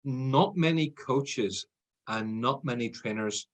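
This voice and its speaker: A man's voice: medium pitch, slow pace. A man with an Irish accent, a guest on a podcast interview, talking in a chatty, self-deprecating way and laughing easily. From Ireland. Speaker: guest, sales trainer.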